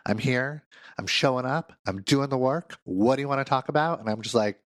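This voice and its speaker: A man's voice: mid-range voice, fairly quick, fairly bright tone, dry recording, studio quality. A man, a counselor, hosting a solo podcast while sick, with a congested, nasal voice and a playful, self-mocking chat.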